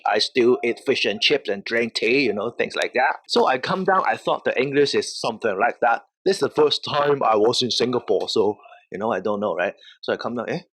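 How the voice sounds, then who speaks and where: A man's voice: medium pitch, a bit bright, husky. Casual man with a Hong Kong accent. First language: Cantonese. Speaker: professional wrestler, interview guest. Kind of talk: casual storytelling.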